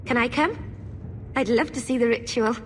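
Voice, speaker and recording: Lady doing an eager shy young woman in a game, kinda high, pleading, slight noise. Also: normal speed, natural voice. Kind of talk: video game cutscene acting.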